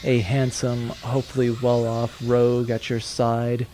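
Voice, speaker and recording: A man's voice: medium pitch, monotone, measured pace, mellow, a little hiss or hum, pitched below the performer's own voice, lightly acted. A man playing a laid-back spaceship crewman with a dry, understated, wry delivery. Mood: teasing. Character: laid-back, wry male spaceship crewman, consoling big-brother type.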